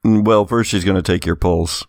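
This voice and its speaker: A man's voice: low-pitched, fairly even intonation, normal speed, no echo, clean studio sound. A man casually running a tabletop roleplaying game as its game master.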